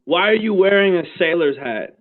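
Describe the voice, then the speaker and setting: This is a blunt, mocking prank caller, put on by a man. He jabs in a high voice, stumbling over his words, and he comes through a tinny, phone-like line. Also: animated, normal speed. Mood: taunting. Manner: taunting, stammering jabs.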